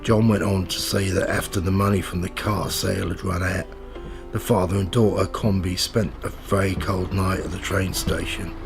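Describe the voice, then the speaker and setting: A man's voice: deep voice, steady tone, moderate pace, creaky, music in the background. A man playing a nosy, cynical, pushy reporter in a radio play, with slangy, sardonic streetwise talk. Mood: dry, narrating. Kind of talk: audio drama dialogue and first-person narration.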